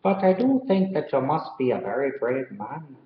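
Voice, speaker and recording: A man's voice: medium pitch, very animated, moderate pace, a little hiss or hum, natural voice. A man voicing a kind male policeman in a storybook, using a put-on Irish accent. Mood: friendly. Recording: roomy, slightly dull recording.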